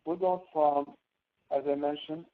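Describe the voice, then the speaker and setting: Male tutorial instructor, slightly high-pitched, informal and halting, heard through narrow phone-like audio. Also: steady tone. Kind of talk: spreadsheet tutorial, live online lesson.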